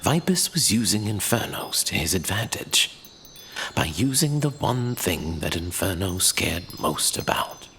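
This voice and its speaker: Deep, animated male storyteller. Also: unhurried, slight background noise. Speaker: narrator. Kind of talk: dramatic scripted fantasy storytelling.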